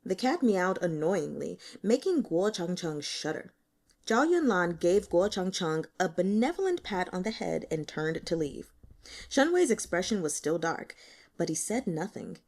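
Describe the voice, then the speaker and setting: A woman reading a novel aloud as scripted audiobook narration, with expressive, dramatic storytelling. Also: fairly low pitch, measured pace. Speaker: audiobook reader.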